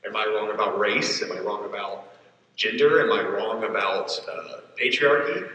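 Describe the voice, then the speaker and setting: A man from Atlanta speaking earnestly and reflectively as a panelist, halting a little, recorded from afar in a convention hall. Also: lowish voice, bright, forceful tone, recorded from a distance, lo-fi sound. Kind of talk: panel discussion. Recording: echoey hall, mic from afar.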